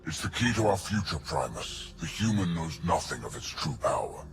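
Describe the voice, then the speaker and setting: A contemptuous alien overlord from a video game. The voice is extremely low and breathy, speaking in grand, deliberate menace over prominent music. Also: male voice, very animated, full character voice. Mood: cold menace. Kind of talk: video game villain acting.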